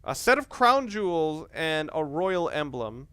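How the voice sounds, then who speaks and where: A man's voice: a little high, very animated, a little slow, sharp tone, dry recording. A man giving casual, hesitant, stop-start commentary while playing a video game. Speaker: let's play commentator. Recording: clearly noisy home recording.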